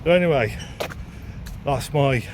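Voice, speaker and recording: Casting: male vlogger, mid-pitched, hesitant and unscripted, musing aloud outdoors with clear traffic noise behind. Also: expressive, measured pace. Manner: rambling, hesitant, thinking aloud. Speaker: second-hand bookseller and vlogger. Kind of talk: casual unscripted vlog musing. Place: outdoors, walking along a town street. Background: street ambience and vehicles.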